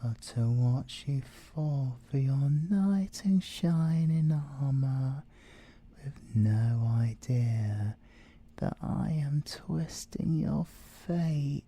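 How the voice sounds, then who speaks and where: A British man playing a possessive, scheming siren in an ASMR audio roleplay, seductive and theatrical, with drawn-out words and whispers. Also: medium pitch, mellow, dry recording. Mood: mocking, scheming. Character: possessive, scheming male siren, obsessive lover and villain.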